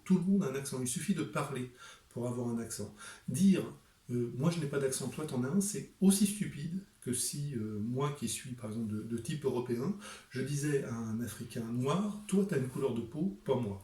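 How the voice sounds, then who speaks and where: A man's voice: medium pitch, warm tone, echoey room, recorded from a distance. A middle-aged man presenting his book to camera, speaking emphatically and rhetorically with frequent hesitations.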